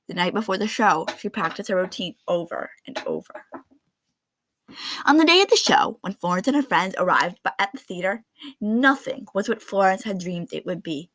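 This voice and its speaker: A woman reading a children's picture book aloud in an expressive storytelling style. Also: mid-range voice, moderate pace, poor audio. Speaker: read-aloud narrator of children's books.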